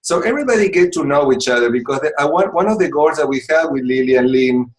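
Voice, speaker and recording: A man from Argentina with an Argentine accent hosts a casual group video call, friendly and halting with many restarts. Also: a little high, animated. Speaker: host of a supervisors' network call.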